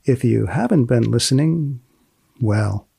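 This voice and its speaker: Deadpan man with a gravelly creak. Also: mid-range voice, dry recording, clean studio sound. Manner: deadpan, mock-solemn, deliberate phrasing. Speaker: podcast host. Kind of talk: wry scripted podcast presenting.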